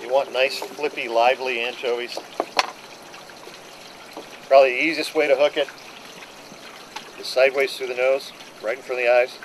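Middle-aged boat captain giving direct instructions. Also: male voice, medium pitch, little pitch movement, normal speed, pauses often. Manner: practical, direct, plain-spoken instructions. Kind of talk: instructional briefing to a charter group. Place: outdoors on the deck of a docked fishing boat. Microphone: camera mic from a few feet away. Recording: outdoor camera audio with clear background noise.

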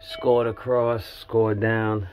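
A man's voice: fairly low pitch, flat delivery, extremely slow, background noise. A middle-aged man filming his own home building project on his phone outdoors, giving a casual, rambling walkthrough. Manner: plainspoken, rambling, working-man talk. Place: outdoors at a house, in a dug-out basement stairwell. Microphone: handheld phone camera.

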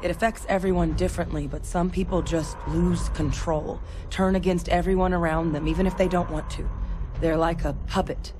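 An actress playing a tough, earnest soldier in a video game, explaining in a serious, matter-of-fact way. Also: female voice, lowish voice, fairly even intonation, moderate pace, noisy background, close to an everyday voice. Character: tough, earnest soldier, expert on alien creatures. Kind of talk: video game character dialogue.

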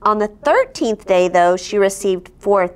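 A middle-aged woman reading a picture book aloud to children, expressive, with explaining asides and check-in questions. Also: mid-range voice, a little slow, clean tone. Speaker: story time host reading aloud. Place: indoor studio set, seated at a table. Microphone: lapel.

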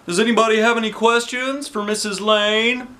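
A man's voice: sits high, flat delivery, normal speed, pushed and bright, voice pushed up. A man voicing an enthusiastic, firm male school principal from a children's storybook, in an emphatic announcing manner.

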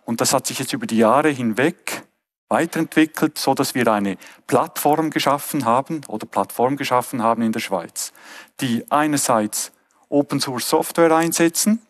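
A middle-aged man with a Swiss German accent gives a conference keynote from a stage lectern, earnest and often pausing to rephrase. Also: mid-range voice. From Switzerland. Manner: earnest, with frequent hesitations and restarts. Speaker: keynote speaker.

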